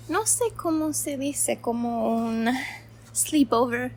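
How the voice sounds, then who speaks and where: Slow, halting woman learner chatting. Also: on the high side, a little hiss or hum. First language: English. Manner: slow and halting, searching for words with many fillers; laughs often while talking. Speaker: language-learning vlogger. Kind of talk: casual chat to camera.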